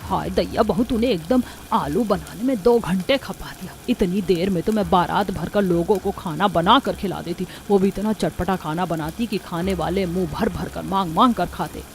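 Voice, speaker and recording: A woman's voice: on the low side, moderate pace, hardly any pauses, a little hiss or hum, lightly acted. A woman voicing a greedy, bossy older mother-in-law in a cartoon story, demanding and nagging with lip-smacking relish. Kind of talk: Hindi cartoon story dialogue.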